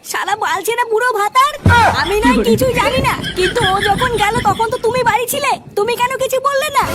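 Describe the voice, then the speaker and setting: A woman voicing a hot-tempered, foul-mouthed, quarrelsome wife in a comic cartoon. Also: very high voice. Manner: coarse, scolding, combative.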